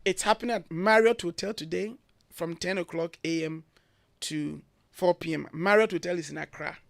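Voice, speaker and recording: Earnest Ghanaian man, bright voice. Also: high-pitched, normal speed, gravelly, dry recording. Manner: earnest, emphatic, lists points. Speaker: guest, education agency head. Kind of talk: radio interview advice. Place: radio studio.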